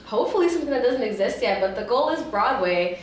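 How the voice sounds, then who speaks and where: A young woman answers interview questions in an informal, expressive way. The room is very echoey, and she sits a little away from the microphone, with faint noise underneath. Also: a little high, fast talker, far from the mic. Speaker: interview guest, stage actor. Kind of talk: casual conversational interview.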